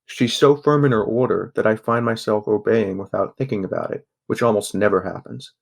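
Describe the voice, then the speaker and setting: A young man playing a guarded, sardonic teenage boy who narrates a grim audio drama in a subdued, even voice. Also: lowish voice, brisk, creaky. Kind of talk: first-person scripted narration, audio drama.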